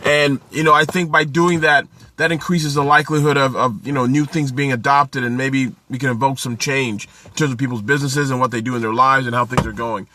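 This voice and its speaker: A man's voice: mid-range voice, fairly even intonation, fairly quick, few pauses, bright, forceful tone, a little hiss or hum. A man from Canada in his thirties, hosting a casual live stream outdoors, greeting viewers by name and giving upbeat business advice. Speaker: live-stream host, accountant and author. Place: outdoors in the sun.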